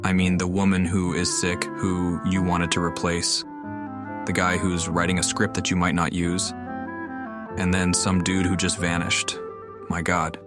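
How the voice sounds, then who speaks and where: A man with a low voice comments on a video game as he plays. His intonation stays flat and measured while he muses. Game music is clearly heard behind him. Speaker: game streamer. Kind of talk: reading along and commenting on a game.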